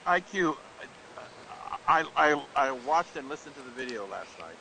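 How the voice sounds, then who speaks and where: A man co-hosting a talk show, speaking casually in a halting way with frequent restarts and chuckles. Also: mid-range voice, big pitch swings, vocal fry, pushed and bright, a little hiss or hum, low-quality recording. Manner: halting, restarts often, chuckles while talking. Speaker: co-host and columnist. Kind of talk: casual talk-radio chat.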